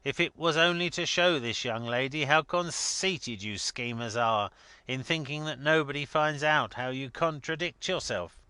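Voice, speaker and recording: A man's voice: mid-range voice, normal speed, sharp tone, smooth, no room sound, voice pushed up, close to an everyday voice. A man voicing a blustering, scornful older rich man in a dramatic story reading, emphatic and mocking.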